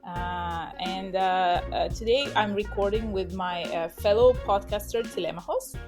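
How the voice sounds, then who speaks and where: A woman's voice: medium pitch, moderate pace, over music. A woman hosting a podcast interview in a casual way, full of hesitant filler sounds. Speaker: podcast host.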